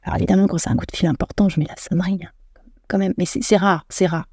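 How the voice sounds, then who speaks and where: A woman's voice: fairly low pitch, steady tone, fast, warm tone, breathy edge, no echo. Chatty French woman, dry close sound. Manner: chatty, self-deprecating, many restarts and self-corrections. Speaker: podcast host. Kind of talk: solo podcast monologue.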